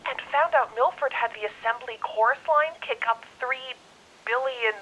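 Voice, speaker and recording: A woman's voice: sits high, moderate pace, sharp tone, a little hiss or hum, poor audio. A woman as a formal spaceship companion over radio. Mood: calm, concerned. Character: calm, formal female spaceship crew companion. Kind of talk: sci-fi audio drama acting.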